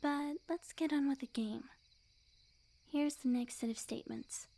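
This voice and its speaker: A woman voicing a sweet, obsessively lovestruck, smug young witch in an intimate ASMR roleplay, speaking in a soft breathy near-whisper with quiet menace. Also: high voice, steady tone, unhurried. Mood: chatty, smug. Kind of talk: ASMR roleplay, intimate scripted monologue to the listener.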